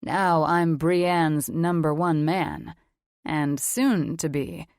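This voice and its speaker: A woman voicing a mature, self-assured man who plays along as a fake fiancé, smooth and teasing. It is read as a storybook figure. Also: deep voice, very slow, a little mellow, dry recording, clean studio sound, full character voice. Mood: smug, assured.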